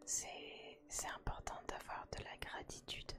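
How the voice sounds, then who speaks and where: A woman whispering an intimate ASMR chat to the listener, softly and hesitantly, in short phrases with pauses between them. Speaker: ASMR creator speaking as host to viewers. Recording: music playing clearly behind the whisper. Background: music.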